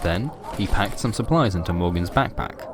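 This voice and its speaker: A man's voice: deep voice, animated, moderate pace, music in the background. A man reading a scripted, surreal horror story as its narrator.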